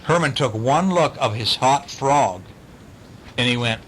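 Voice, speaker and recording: A man's voice: medium pitch, expressive, measured pace, a bit bright, slight background noise, poor audio. A man reading a bedtime story aloud to children, with chatty asides as the storyteller.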